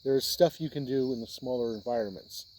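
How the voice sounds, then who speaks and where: Mellow American man hosting a casual video. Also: mid-range voice, a little fast. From Indiana, United States. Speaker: host of a tabletop role-playing video channel. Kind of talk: casual explainer talk to camera.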